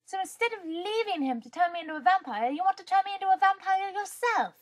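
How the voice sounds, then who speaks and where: A woman's voice: extremely high pitch, expressive, fast. A woman voicing a polite, naive young policewoman in a parody cartoon. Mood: resigned. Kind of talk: fan-dub parody cartoon acting.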